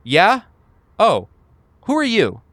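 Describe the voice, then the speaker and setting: A man's voice: high voice, wildly swooping pitch, slow pace, fairly bright tone, dry recording, voice pushed up, exaggerated voice. A man voicing an irritated, sarcastic woman in her late forties, curt and combative, in a tabletop game. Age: middle-aged.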